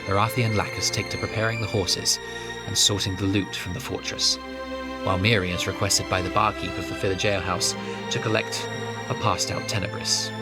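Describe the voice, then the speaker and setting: A man narrates a scripted fantasy tale. His voice is on the low side, and prominent, loud music plays behind him throughout.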